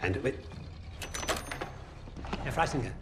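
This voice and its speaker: An actor playing a menacing Nazi officer in a war game, with a German accent and a softly threatening, mocking, deliberate delivery. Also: male voice, medium pitch, lively intonation, noisy background. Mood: brisk, agitated. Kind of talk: cinematic video game acting.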